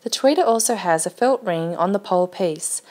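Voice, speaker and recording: A woman narrates a product presentation from a script. Her voice sits at an average female pitch, and the delivery is that of an informative promotional read. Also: lively intonation, normal speed, darkish tone.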